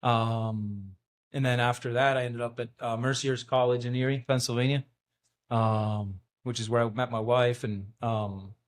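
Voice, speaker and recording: A man in his forties from Norway, a youth soccer coach and native Norwegian speaker, calmly telling his story with frequent ums. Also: medium pitch, normal speed. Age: 40s. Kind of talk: casual interview answers.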